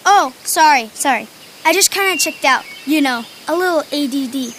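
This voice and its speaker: A woman's voice: high voice, expressive, moderate pace, fairly bright tone. A woman playing an anxious but game, imaginative kid in an audio drama, with a casual, candid, youthful manner. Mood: eager, sheepish. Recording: clear outdoor nature noise, birds.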